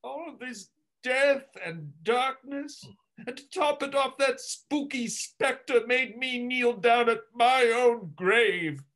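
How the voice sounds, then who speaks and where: Man acting a grumbling old miser, high sharp voice, slow indignant delivery, sardonic stage drama. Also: heavily acted. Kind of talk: theatrical in-character retelling.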